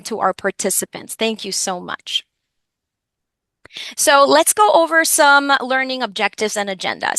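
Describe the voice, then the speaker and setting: Woman from the dominican republic presenting a webinar, highish bright voice, welcoming, talks right to the audience. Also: dry recording. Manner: welcoming, addresses listeners directly, clearly signposted. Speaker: webinar host, education program supervisor.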